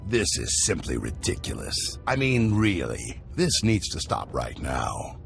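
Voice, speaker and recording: A man's voice: low voice, huge pitch swings, a little slow, vocal fry, faint music behind, full character voice. An actor playing a proud, indignant male rival hunter who speaks in a pompous, outraged way.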